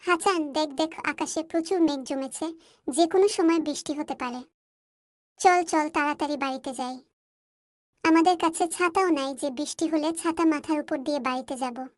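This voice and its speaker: Woman as a high-voiced little schoolgirl. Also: moderate pace, no room sound, clean studio sound, natural voice. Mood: carefree. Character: chatty, carefree young village schoolgirl.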